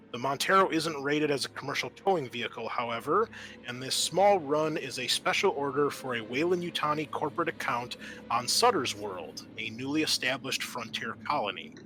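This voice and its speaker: A man with a bright, forceful voice runs a tabletop sci-fi game as game master. He narrates and explains to his players, with faint noise behind him. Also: medium pitch, rough recording.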